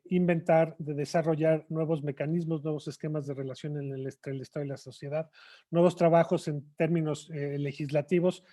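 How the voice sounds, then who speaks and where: Mellow man giving closing remarks. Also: fairly high pitch. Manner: searching for words, frequent "eh" and repeats. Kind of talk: closing speech at a webinar.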